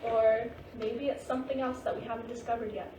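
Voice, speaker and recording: A wise, tender grandmother spirit, read by a woman in a staged drama, guides patiently and reassuringly. The voice sits fairly high and reaches us from a distance through a very echoey room with clear background noise. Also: fairly even intonation, natural voice. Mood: fond, wistful. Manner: patient, reassuring, unhurried guiding. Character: gentle, wise ghost of a Japanese grandmother. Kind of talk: staged play reading.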